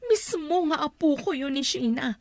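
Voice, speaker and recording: A woman voices a worried middle-aged mother in a radio drama. Her voice is fairly high, and she sounds anxious and pleading throughout. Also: lively intonation, normal speed, dry recording, clean studio sound.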